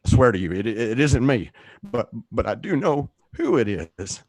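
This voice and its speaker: A man's voice: mid-range voice, very animated, normal speed, darkish tone, dry recording. A man acting a frightened, desperate man who stammers and pleads his innocence in a radio play.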